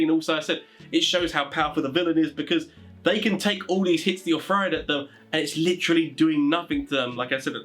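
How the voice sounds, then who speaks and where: A man's voice: on the high side, a bit bright. A man speaking informally as an online video host, giving advice in a rambling, conversational way full of filler phrases. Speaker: YouTube host giving tabletop game master advice. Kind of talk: informal advice talk to viewers.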